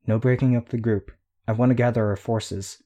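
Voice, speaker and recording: A man's voice: mid-range voice, fairly even intonation, normal speed, mellow, close to an everyday voice. A man reading a firm, decisive young woman leader in an audiobook.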